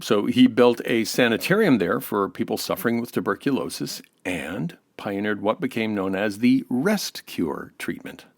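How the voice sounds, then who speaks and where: A man's voice: fairly low pitch, lively intonation, moderate pace, vocal fry, hardly any pauses, dry recording. An American man as an interview guest, giving an affable, informative explanation in a semi-scripted style.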